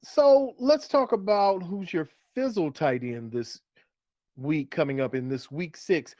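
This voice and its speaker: A man's voice: a little high, a little slow, a little mellow, no room sound, studio quality. A man hosting a casual sports chat in a colloquial, conversational way, repeating phrases for emphasis. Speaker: fantasy football podcast host. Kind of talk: casual sports chat, steering segments and questions.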